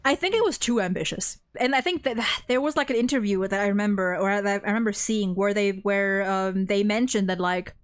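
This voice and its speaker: A woman's voice: medium pitch, fairly quick, few pauses, smooth. A woman hosting a casual, unscripted game discussion, speaking in an emphatic, opinionated, rambling way. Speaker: host of a video game story ranking discussion.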